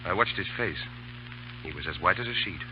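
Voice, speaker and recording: Wry cavalry officer, arch and animated, on a muffled old radio broadcast. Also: male voice, deep voice, a little fast, a little hiss or hum. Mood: earnest. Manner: arch, dryly teasing, persuasive. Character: wry, level-headed British cavalry officer. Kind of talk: 1930s radio drama acting.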